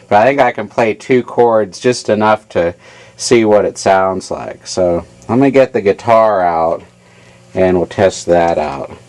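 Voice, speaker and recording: A man giving an informal hands-on technical demonstration in a halting, matter-of-fact way, as a repair hobbyist. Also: on the low side. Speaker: amplifier repair hobbyist demonstrating his work.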